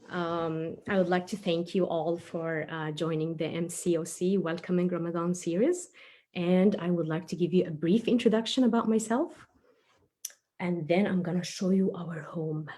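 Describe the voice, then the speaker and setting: A woman's voice: lowish voice, moderate pace, darkish tone. A woman, a preschool teacher and storyteller who talks to children live with playful explanations and questions. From Egypt. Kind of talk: introducing herself and telling a children's story live.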